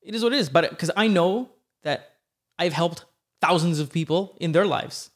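A man's voice: a little high, normal speed. A man talking as a podcast guest in casual chat, emphatic and upbeat, swearing freely.

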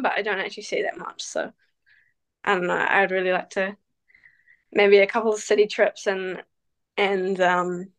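A woman, speaking as a guest on a casual interview. Her pitch sits mid-range. She answers in a relaxed but halting way, full of fillers and restarts. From New Zealand. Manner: hesitant, many fillers, relaxed. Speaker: guest, professional cyclist.

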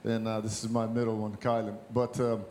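A man's voice: fairly low pitch, little pitch movement, fairly quick, warm tone. A middle-aged man from Brazil, casual and folksy, giving an informal testimony to a congregation as a guest church planter. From born in Brazil, raised in the US. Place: church stage. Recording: background noise in live church.

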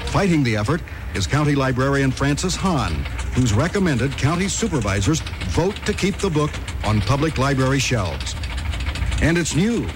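A man's voice: medium pitch, big pitch swings, normal speed, over music. An American man reading scripted news as a radio newscaster in a 1960s style. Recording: noisy archival 1960s radio aircheck.

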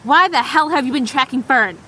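A woman's voice: high voice, lively intonation, a little fast, bright, forceful tone, slight background noise. A woman playing a tough, protective young survivor with an intense, earnest manner in an audio drama. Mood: angry, interrogating.

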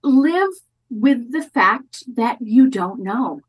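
A woman community college educator speaking as a podcast guest, careful and measured, often restarting her phrases. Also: a little high, lively intonation, slightly rough, muffled recording. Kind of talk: thoughtful podcast interview talk.

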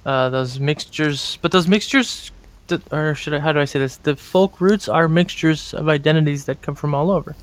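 A man's voice: fairly high pitch, normal speed, hardly any pauses. A man in a casual conversation, making earnest, opinionated points and searching for words as he goes.

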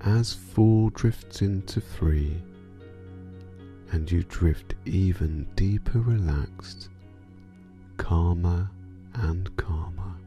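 A man guiding a sleep meditation in a soothing, hypnotic manner, drawing out his phrases and letting endings fall soft and breathy. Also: sits low, very slow, faint music behind. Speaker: meditation guide.

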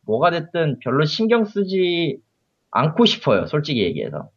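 Cynical man in his thirties, lively pitch. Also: moderate pace, slightly rough. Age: 30s. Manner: blunt, cynical, deadpan. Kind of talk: casual podcast chat. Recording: lo-fi audio.

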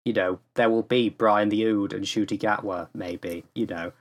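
A man's voice: fairly low pitch, fairly even intonation, moderate pace. A man chatting casually as a podcast panelist, with hesitant, rambling phrasing.

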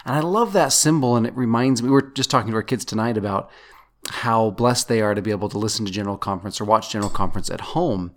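A man in his thirties hosting a casual scripture podcast with his wife, sometimes joking in a mock radio-announcer way. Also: lowish voice. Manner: playful, mock radio-announcer delivery at times. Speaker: podcast host.

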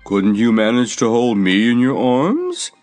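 A man's voice: mid-range voice, wildly swooping pitch, a little slow, darkish tone, soft background music. A man voicing a plain-spoken talking cab horse in a children's story. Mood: anxious, puzzled. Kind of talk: storybook character voice.

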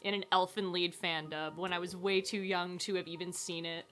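A woman's voice: lowish voice, flat delivery, normal speed, a bit bright, soft background music. A woman chatting casually as a podcast guest, informal, slangy and given to swearing. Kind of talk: casual chat, fan discussion.

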